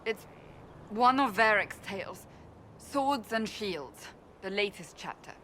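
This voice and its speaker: A woman's voice: mid-range voice, expressive, takes it slowly, fairly bright tone, a little hiss or hum. An actress voicing a stern woman warrior in a video game, flustered and defensive. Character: stern woman warrior, secretly a romance reader.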